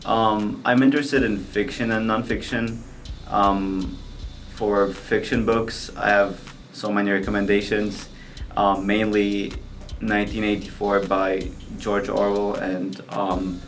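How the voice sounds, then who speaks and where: A man's voice: lowish voice, little pitch movement, slow pace, a little hiss or hum. A nineteen-year-old man, a student, answering earnestly and hesitantly with many ums. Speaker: student interviewee. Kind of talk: casual street interview.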